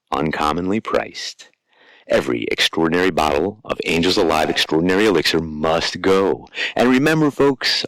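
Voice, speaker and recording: Huckster role, deep swooping voice, dry close read, man acting it. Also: creaky, fairly bright tone, no echo, full character voice, rough recording. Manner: florid, alliterative sales patter. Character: smooth-talking male elixir peddler, grandiose. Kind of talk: radio drama acting.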